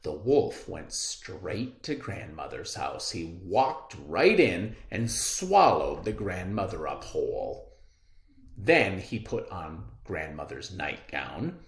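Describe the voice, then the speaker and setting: Very animated man reading a children's story. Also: sits low, slow, gravelly. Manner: theatrical, emphatic phrasing. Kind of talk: animated children's read-aloud. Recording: roomy, echoey indoor recording.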